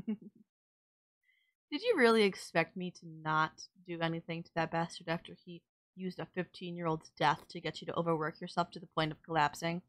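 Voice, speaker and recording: A woman's voice: lowish voice, moderate pace, fairly bright tone, natural voice. A woman playing a sardonic villain nemesis in an audio roleplay, scolding and teasing the listener in an exasperated but caring way. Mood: indignant.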